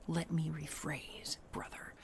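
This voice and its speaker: Mischievous vampire brother voiced by a woman: low-pitched, half-whispered, slow, mocking audio-drama menace. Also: level tone, vocal fry, heavily acted. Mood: hungry, insistent. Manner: languid, teasing, mocking. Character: sly, taunting, hungry vampire brother. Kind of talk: audio roleplay drama acting.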